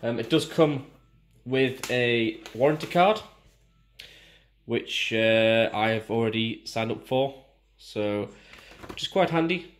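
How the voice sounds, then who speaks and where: A man's voice: medium pitch, takes it slowly, a little hiss or hum. British man giving a casual product review. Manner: informal, explanatory.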